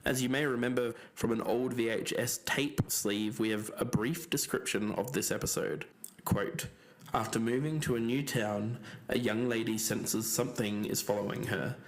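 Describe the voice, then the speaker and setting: A man's voice: medium pitch, fairly even intonation, normal speed, natural voice. A man playing a sober, methodical podcast investigator, explaining evidence carefully and with some hesitation. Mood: careful, serious. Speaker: podcast co-host. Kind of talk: scripted fiction-podcast narration.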